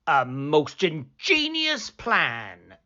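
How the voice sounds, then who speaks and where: A mild, proper lighthouse keeper from a picture book, acted out by a woman. He speaks in a deliberate, unhurried and courteous way, with animated rises and falls. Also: low voice, highs cut off, full character voice. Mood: agreeable.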